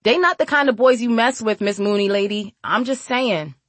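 A woman's voice: a little high, moderate pace, dry recording, voice pushed up, close to an everyday voice. A woman voicing a hot-tempered, defiant young girl with slangy, exclamatory speech in an audiobook story. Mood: wary.

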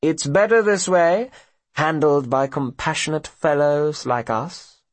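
Man voicing a high-pitched, oily villainous gentleman. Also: takes it slowly, no room sound. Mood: oily menace. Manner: mocking, unctuous, pompous. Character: sneering villainous profiteer, smug, mock-genteel older gentleman.

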